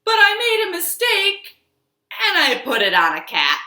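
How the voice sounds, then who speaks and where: A woman hosting a children's storytime, reading and reciting rhymes in an expressive, sing-song, exaggerated way for young children. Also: very high-pitched, a little slow. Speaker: children's storytime host at a library. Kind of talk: children's storytime with action songs, rhymes and picture-book reading. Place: living room at home. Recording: echoey room.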